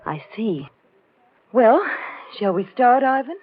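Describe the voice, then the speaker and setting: Lilting, genteel 1940s radio heroine. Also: female voice, a little high, big pitch swings, takes it slowly. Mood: polite, curious. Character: genteel, unsuspecting woman posing for a sculptor. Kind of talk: 1940s radio drama acting. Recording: muffled, noisy 1940s radio recording.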